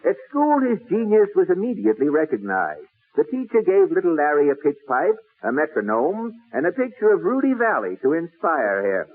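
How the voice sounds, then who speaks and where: A man's voice: a little high, wildly swooping pitch, normal speed, slightly rough. A man narrating 1940s radio comedy in a mock-grand, theatrical manner, on an old narrow-band radio recording. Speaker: comic announcer.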